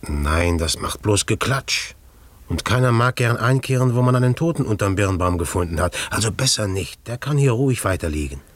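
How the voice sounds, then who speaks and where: An actor playing a scheming, secretive village innkeeper in debt, in a German radio drama, with an insistent, calculating manner. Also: male voice, on the low side, animated, normal speed, no room sound. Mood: grimly matter-of-fact. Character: scheming, debt-ridden village innkeeper coldly plotting a crime.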